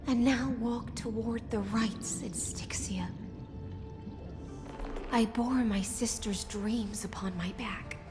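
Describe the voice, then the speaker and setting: A woman playing a lonely, dutiful sea siren in human form, speaking a solemn, mournful game monologue. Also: fairly high pitch. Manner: slow, mournful, poetic delivery. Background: orchestral game music.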